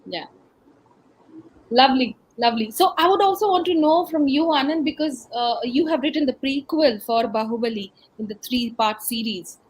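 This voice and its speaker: A woman's voice: sits high, moderate pace, breathy edge, rough recording. A woman with an Indian accent hosting a conversational interview. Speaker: interviewer, host.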